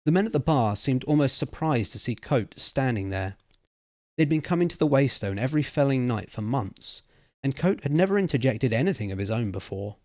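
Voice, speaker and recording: A man's voice: mid-range voice, sing-song, fairly quick, a little mellow, dry recording, clean studio sound. A man giving a dramatic reading of a story as its narrator. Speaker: reader.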